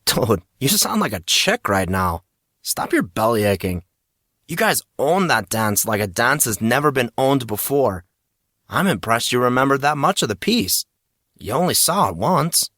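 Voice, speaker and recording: A man's voice: mid-range voice, very animated, measured pace, a bit bright, no room sound, clean studio sound. A man voicing a cocky teenage boy who needles his friend in slang, in an audio story. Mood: teasing.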